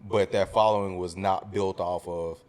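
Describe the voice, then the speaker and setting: A man's voice: sits low, steady tone, moderate pace, darkish tone. A man speaking as a podcast panelist in casual discussion, with informal, slangy phrasing.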